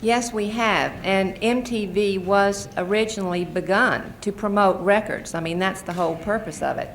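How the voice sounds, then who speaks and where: A woman's voice: mid-range voice, fairly even intonation, moderate pace. A middle-aged American woman giving earnest, explanatory testimony as a witness at a formal hearing. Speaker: advocacy group witness and mother. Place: Senate committee hearing room. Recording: noisy archival hearing-room recording.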